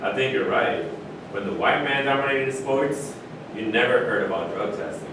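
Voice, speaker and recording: A man's voice: lively intonation, normal speed, lightly acted. A man acting in a staged reading as a proud, outspoken retired athlete in conversational dialogue. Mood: insistent. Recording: echoey room from far off, rumbling noise.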